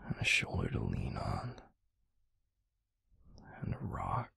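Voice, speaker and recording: A man playing a tender, protective werewolf boyfriend in an intimate roleplay audio drama, speaking in a hushed, breathy, pleading way. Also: wildly swooping pitch, slow pace, no room sound, whispered, full character voice. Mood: tender, reassuring. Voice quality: breathy, gravelly, husky low voice. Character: tender, protective, stubbornly caring adult male werewolf boyfriend.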